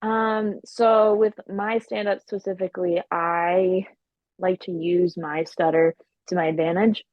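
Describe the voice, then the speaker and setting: A woman's voice: lowish voice, fairly even intonation, measured pace, no room sound, muffled recording. A woman comedian guesting on a podcast, talking casually with a stutter, repeating sounds and restarting words, and swearing freely. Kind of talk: casual chat.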